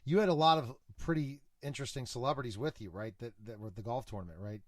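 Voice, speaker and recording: A man's voice: medium pitch, fast talker, a crowd in the background. Male interview host, mid-range voice. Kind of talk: casual talk show interviewing.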